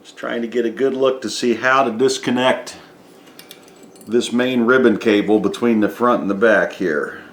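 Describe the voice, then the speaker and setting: A man's voice: mid-range voice, normal speed. A man giving an unscripted, methodical step-by-step narration of a hands-on repair demonstration. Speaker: DIY repair presenter. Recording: echoey room.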